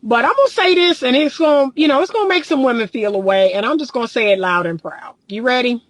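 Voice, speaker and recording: A woman's voice: sits high, lively intonation, fast talker, no room sound. A woman in her thirties, a relationship coach, talking bluntly and casually from her car, reading viewer comments, laughing often. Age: 30s.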